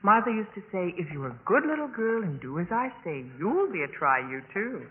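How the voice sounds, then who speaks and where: An actress playing an eager, spirited young sorority pledge in a 1950s radio drama. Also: female voice, mid-range voice, big pitch swings, fairly quick, slightly rough. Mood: teasing, confident. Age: young adult. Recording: muffled, noisy 1950s radio broadcast.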